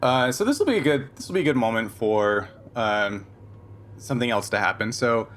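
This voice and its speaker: A man running a tabletop roleplaying game, explaining and improvising in a halting, conversational way. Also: mid-range voice, expressive, fairly bright tone. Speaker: game master.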